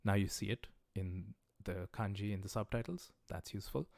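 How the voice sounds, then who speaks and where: A man teaching a livestreamed lesson in a relaxed, conversational way, with many "uh" and "um" fillers, encouraging viewers as he recommends study resources. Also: on the low side, moderate pace, gravelly, dry recording. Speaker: language teacher.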